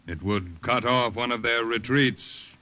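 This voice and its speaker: Forceful wartime army colonel. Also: male voice, medium pitch, unhurried. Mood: serious. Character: authoritative, measured male army colonel planning a wartime mission. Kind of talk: 1940s-50s radio drama acting.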